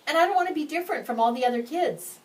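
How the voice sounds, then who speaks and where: A woman's voice: sits high, animated, racing, voice pushed up. A woman voicing a shy, earnest young girl in a storybook, speaking hesitantly. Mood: pleading. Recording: distant microphone, background noise.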